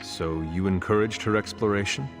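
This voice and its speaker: An actor as a low-voiced ancient warrior in a video game, grave and reserved. He speaks in formal, terse phrases, with faint music behind him. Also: moderate pace, close to an everyday voice. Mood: grave, questioning. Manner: formal, terse, few contractions. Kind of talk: game cutscene dialogue.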